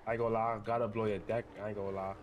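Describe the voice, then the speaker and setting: Quick-talking New man, slangy. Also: lowish voice, level tone. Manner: slangy, repeats himself. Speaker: roleplay game player. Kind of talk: casual in-character roleplay banter. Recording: game chat with faint car noise.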